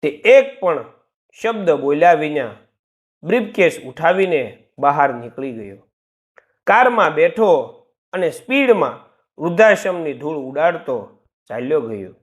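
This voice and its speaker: A man narrating a moral story in an expressive, dramatic storytelling manner. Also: on the high side, measured pace, pauses often.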